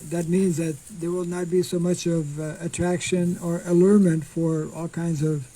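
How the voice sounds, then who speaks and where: An older monk giving an informal scripture class outdoors into a stand mic, speaking slowly and haltingly and clearing his throat often. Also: a little high, level tone, mellow. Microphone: stand mic in front.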